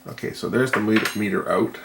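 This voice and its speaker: A man giving casual hands-on repair commentary, thinking aloud in a tentative, unhurried way. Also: on the low side, vocal fry. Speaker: repair vlogger. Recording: noticeable background noise.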